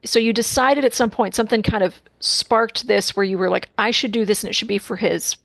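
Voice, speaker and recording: A woman's voice: medium pitch, brisk, creaky, fairly bright tone, no echo. A woman interviewing a guest, asking questions in a hesitant, trailing way. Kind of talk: casual interview chat.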